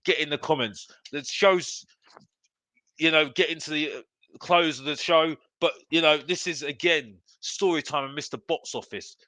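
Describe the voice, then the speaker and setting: Guy hosting a fan show, sharp bright voice on the high side, emphatic and exasperated, keeps repeating himself. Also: no echo. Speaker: host of a football fan show. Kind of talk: live opinionated fan rant to viewers.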